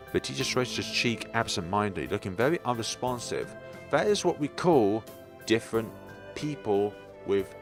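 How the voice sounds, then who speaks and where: Male let's player, low voice with animated swings, reads game text and comments over music. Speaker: let's play host. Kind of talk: reading a visual novel aloud with commentary.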